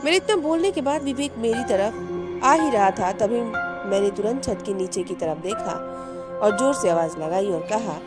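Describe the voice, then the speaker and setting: A woman telling a first-person horror story, dramatic, rising with suspense and dropping to a hush in tense moments. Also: medium pitch, animated, normal speed. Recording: music bed under the voice.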